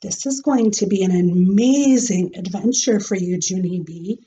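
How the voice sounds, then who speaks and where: A woman's voice: medium pitch, mellow, dull audio, close to an everyday voice. A woman voicing a kindly male schoolteacher in a storybook, patient, upbeat and explanatory. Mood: warm. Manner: explanatory, measured. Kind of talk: expressive storybook read-aloud.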